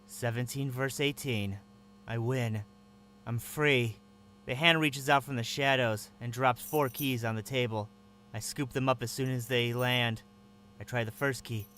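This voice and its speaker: A man's voice: mid-range voice, steady tone, unhurried, a bit bright, slight background noise. Tense first-person male narrator. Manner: tense, wary inner monologue. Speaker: narrator and captive lead.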